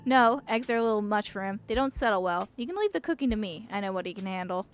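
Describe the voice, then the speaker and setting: A woman's voice: mid-range voice, expressive, fast, faint music behind, natural voice. A woman playing an irritable, guarded mother and doctor from a visual novel, curt and weary. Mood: firm, curt.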